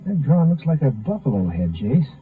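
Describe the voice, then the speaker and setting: A man's voice: medium pitch, sing-song, brisk, slight background noise, close to an everyday voice. A man acting a cooperative American lawman helping a Ranger in a 1950s radio drama. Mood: businesslike. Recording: muffled narrow-band 1950s radio recording.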